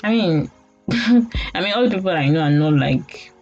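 A Nigerian woman in her late twenties talks casually as a podcast host, rambling and often laughing at herself. Her voice sits a little low for a woman. The recording is dull and lo-fi, with soft music underneath. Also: animated, unhurried. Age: late 20s. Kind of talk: casual storytelling monologue. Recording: dull lo-fi audio with faint music.

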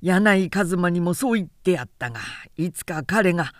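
A woman's voice: lowish voice, lively intonation, moderate pace, no echo, studio quality, lightly acted. A woman voicing a garrulous, self-important middle-aged samurai man who lectures at length in a storybook reading. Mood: scornful lecturing. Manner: long-winded, pompous, lecturing.

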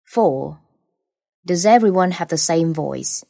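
Mellow woman reading exam questions. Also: fairly low pitch, expressive, slow pace, gravelly, dry recording, studio quality. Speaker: examiner.

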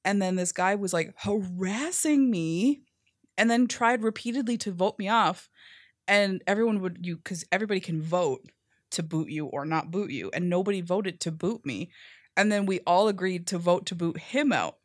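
A young woman with a fairly deep voice, co-hosting a podcast and telling stories in a casual, animated way with many "like"s. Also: moderate pace, no echo, clean studio sound. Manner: animated storyteller, says "like" often. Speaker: podcast co-host. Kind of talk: casual chat.

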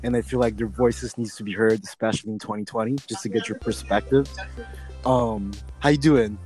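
Laid-back podcast host, lots of ums. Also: male voice, fairly low pitch, fairly even intonation, fairly quick, a little mellow, faint music behind, poor audio. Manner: laid-back, hesitant with many ums and restarts. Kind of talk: casual interview hosting.

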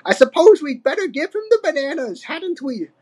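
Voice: high voice, sing-song, normal speed, darkish tone, pitched above the performer's own voice. A storyteller voicing a cranky, boastful old male ape in a storybook, mocking and grumbling. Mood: grumbling, sarcastic. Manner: snorting, grumbling, scornful. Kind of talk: storybook character reading.

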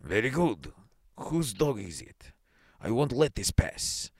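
A man's voice: mid-range voice, sing-song, a little slow, dry recording, clean studio sound. Man putting on a pompous, blustering police superintendent. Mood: stern, threatening. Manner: pompous, barking orders and questions. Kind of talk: dramatised short story reading.

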